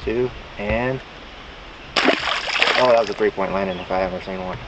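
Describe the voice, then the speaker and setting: A man with a fairly low voice banters casually as he fishes with a buddy. He is recorded outdoors on a boat, with clear noise from the water and boat around him. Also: moderate pace. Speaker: fishing companion. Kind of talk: casual banter while fishing. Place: outdoors on a bass boat on a small lake. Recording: noisy outdoor boat recording, often off-mic.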